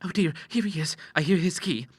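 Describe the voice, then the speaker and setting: A man's voice: a little high, a little fast, breathy edge, voice pushed up. A man voicing a young Victorian daughter in an audiobook, girlish, affectionate and sweetly wheedling. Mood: playful. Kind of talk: dramatized audiobook dialogue.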